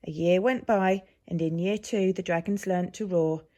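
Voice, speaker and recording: A woman reading a children's picture story aloud with expressive, emphatic delivery, like an infant school teacher. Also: fairly low pitch. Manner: animated, measured storytelling with emphasis.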